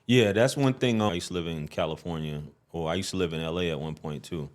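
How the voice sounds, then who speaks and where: A man's voice: low-pitched, quick pace. A man hosting a podcast, leading a casual interview and affirming the guest with short replies. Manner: easygoing, affirming with short responses. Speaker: podcast host.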